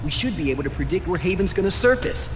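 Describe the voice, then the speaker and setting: Very animated, high-voiced male technician. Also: fast talker, noisy background. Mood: keen. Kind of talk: scripted game cutscene dialogue. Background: loud music.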